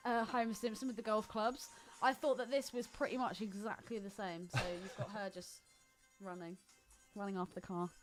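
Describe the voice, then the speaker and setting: A woman's voice: medium pitch, steady tone, moderate pace, few pauses, over music, rough recording. A woman co-hosting a podcast, bantering informally about films in a teasing, opinionated way. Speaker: podcast co-host. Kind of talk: casual film banter.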